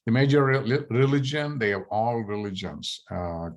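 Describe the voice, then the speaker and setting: A middle-aged man, a native Tamil speaker, presenting a study abroad program in a halting way, with many fillers and restarts. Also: medium pitch, lively intonation. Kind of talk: informational presentation to prospective students.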